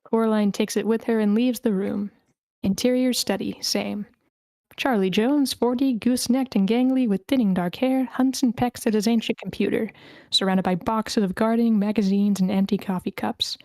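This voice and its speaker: A woman's voice: mid-range voice, steady tone, normal speed, a little mellow, dry recording. A woman reading stage directions as narrator at a screenplay table read over an online call. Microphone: video call.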